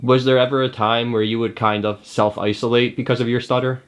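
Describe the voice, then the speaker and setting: Guy interviewing, short gentle questions. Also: medium pitch, flat delivery, fairly quick.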